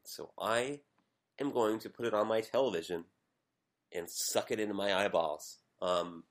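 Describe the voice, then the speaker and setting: Podcast guy with a low voice, rambly casual commentary, pokes fun at himself. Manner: rambling, self-deprecating commentary. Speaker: podcast co-host. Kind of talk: casual chat.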